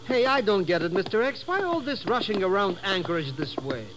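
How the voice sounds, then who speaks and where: A man's voice: sits high, brisk, background noise. An actor playing a brash, girl-chasing, bumbling sidekick in slangy 1950s radio comedy-drama style. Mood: puzzled, grumbling.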